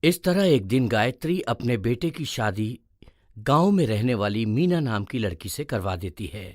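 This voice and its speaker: Animated man narrating a story. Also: mid-range voice, normal speed, no echo, studio quality.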